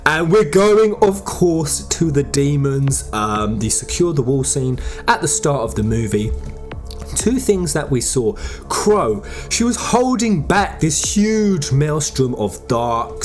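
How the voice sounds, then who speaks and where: A man giving enthusiastic, conversational game commentary, speculating with emphatic delivery. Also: on the high side. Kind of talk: enthusiastic fan theory commentary.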